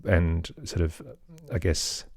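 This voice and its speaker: A man's voice: sits low, flat delivery, slow, a little mellow, dry recording, clean studio sound. A middle-aged man giving reflective, loosely phrased answers as a guest in an interview. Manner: reflective, loosely phrased, hedging with "sort of" and "kind of". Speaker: podcast guest, musician and storyteller.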